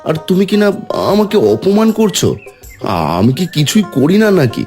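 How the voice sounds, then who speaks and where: A poor young village husband in a cartoon, voiced by a man, with a mid-pitched, lively voice. He defends himself, aggrieved and pleading. Light music sits underneath. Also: moderate pace.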